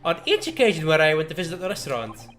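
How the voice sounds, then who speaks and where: A man voicing an eccentric, pompous old curio dealer in a video game, with archaic, flowery phrasing and drawn-out words. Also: a little high, huge pitch swings, fairly bright tone. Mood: proud. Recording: music behind the voice.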